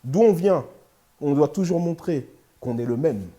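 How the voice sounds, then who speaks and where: A middle-aged man answering interview questions, steady and emphatic, stacking up his points in short repeated phrases. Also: a little high, a little slow. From France. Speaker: interview guest, lawyer and sports agent. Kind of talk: candid interview talk.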